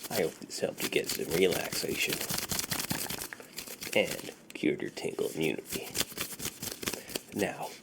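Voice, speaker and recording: Low, gravelly man doing soft ASMR. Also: sing-song, very noisy. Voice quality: breathy, often whispered, gravelly. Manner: unhurried, reassuring, playing a friendly dealer. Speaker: ASMR performer. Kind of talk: soft-spoken ASMR roleplay.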